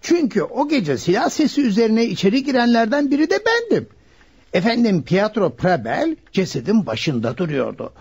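An eager, deferential butler in a radio drama. His high voice swoops wildly up and down, fussily polite, on a dull, muffled old recording. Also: very animated, moderate pace, darkish tone, slightly rough, full character voice. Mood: eager explaining. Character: excitable, deferential male household butler. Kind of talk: radio play acting.

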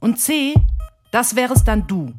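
A woman making a deliberate, scripted announcement like a quiz presenter. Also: on the high side, sing-song, soft background music.

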